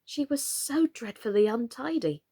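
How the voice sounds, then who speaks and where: A woman reading a children's story as an expressive narrator. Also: fairly high pitch, measured pace, breathy edge. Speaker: storyteller and reader.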